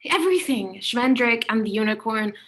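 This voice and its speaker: A woman reading the part of an anxious, vulnerable woman author at a play table read, speaking softly and hesitantly and breaking into alarmed cries. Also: a little high, animated, moderate pace. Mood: hurt.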